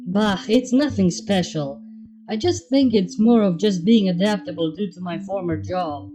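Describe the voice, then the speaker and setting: A woman's voice: mid-range voice, natural voice. A woman voicing a guarded, modest unicorn mare in a story reading, with a dry, understated delivery. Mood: modest, subdued. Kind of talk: fan fiction character reading. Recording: dull, muffled sound under music.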